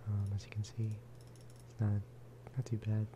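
Soft-spoken man with a flat, lowish voice. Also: normal speed, noisy background. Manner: casual, rambling. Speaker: gaming video creator. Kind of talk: soft-spoken ASMR game commentary.

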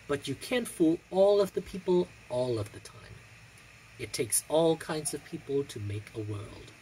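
Husky, higher-pitched theatrical man. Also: darkish tone, low-quality recording. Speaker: drag performer and reader. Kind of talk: casual vlog chat and expressive poetry reading. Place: small room indoors. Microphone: phone on a stand a short distance away.

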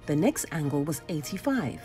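Female storybook narrator, lowish mellow voice, lively expressive delivery, faint music underneath. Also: normal speed. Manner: dramatic, animated storytelling. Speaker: storybook reader. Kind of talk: expressive children's read-aloud narration.

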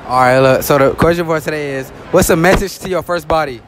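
A man's voice: a little high, quick pace, slight background noise. A young man running a casual street interview in a shopping mall, loose and joking, leaning on "all right, look" as he holds out a handheld mic.

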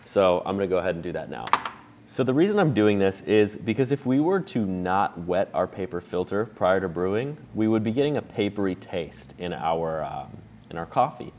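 Low-voiced man explaining a coffee brew. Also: moderate pace, a little hiss or hum. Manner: relaxed, chatty, explaining step by step with fillers. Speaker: coffee shop owner demonstrating a brew recipe. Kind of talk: casual how-to demonstration. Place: bright indoor room with a table.

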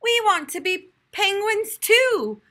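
Excited little animal schoolchildren from a storybook, done by a woman. They call out very high and loud in a bright sing-song. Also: slow pace, exaggerated voice.

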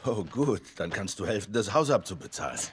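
A man's voice: fairly low pitch, sing-song, brisk, husky, no echo, exaggerated voice. An actor playing a rugged, protective, emotional father in a dramatic video game. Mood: reassuring. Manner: emotional, pleading, swings from hushed to crying out. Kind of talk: video game dramatic acting, German dub.

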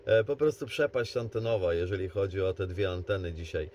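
A man talking casually as an amateur radio operator, explaining things in a halting way. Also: low voice, expressive, a little mellow, slight background noise. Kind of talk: casual two-way radio chat.

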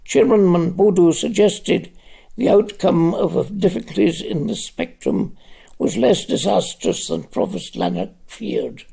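An older man reading his own novel aloud at home, in a slow, dramatic literary reading. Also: big pitch swings, vocal fry, mellow, husky, rough recording. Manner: slow, dramatic reading with wide swings of pitch.

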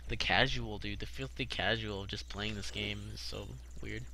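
A man's voice: lowish voice, steady tone, moderate pace, bright, forceful tone, a little hiss or hum. A young man giving casual, foul-mouthed, slangy live commentary while streaming a video game. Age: young adult.

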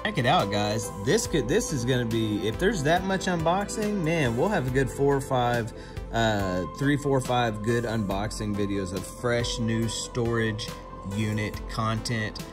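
Folksy man over loud music. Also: mid-range voice, normal speed. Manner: folksy, chatty, thinking aloud. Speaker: vlogger buying storage units at auction. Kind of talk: casual vlog commentary. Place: partly inside a truck, hand-held filming of a laptop screen.

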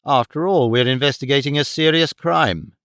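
A man's voice: medium pitch, normal speed, fairly bright tone, dry recording, studio quality, close to an everyday voice. A man playing a confident, courteous detective in an audiobook, formally polite and probing. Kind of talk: audiobook character dialogue.

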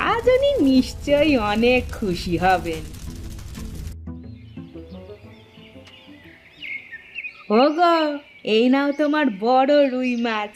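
A woman voicing a naive, simple-minded young wife in a cartoon, with plaintive, singsong, drawn-out exclamations. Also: medium pitch. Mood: proud, eager. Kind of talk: cartoon voice acting.

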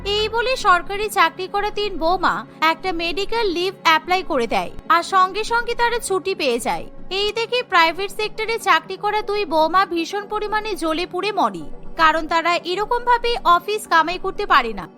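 A woman's voice: very high-pitched, lively intonation, moderate pace, sharp tone, faint music behind. A woman narrating a scripted cartoon story as its storyteller.

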